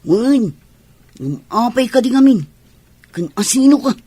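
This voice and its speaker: A woman's voice: on the high side, lively intonation, a little slow, mellow, husky, dry recording. An actress with an Ilocano accent playing an outgoing, inviting village landlady in a radio drama. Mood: nosy, sharp.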